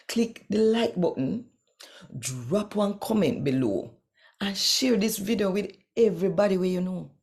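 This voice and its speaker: A Jamaican woman reads scripture to her audience like a storyteller. Her voice is low and creaky, with very lively swings of pitch. She speaks deliberately and dramatically, in a strong Jamaican accent. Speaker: channel host and Bible reader. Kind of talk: expressive scripture reading and direct address to viewers.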